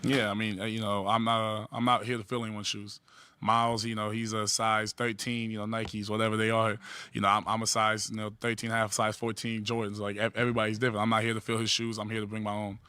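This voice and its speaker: A man's voice: on the low side, monotone, sharp tone, clean tone, no echo. A man, a football player, answering a press question firmly and confidently. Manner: firm, confident, rapid. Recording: clip played from a press conference.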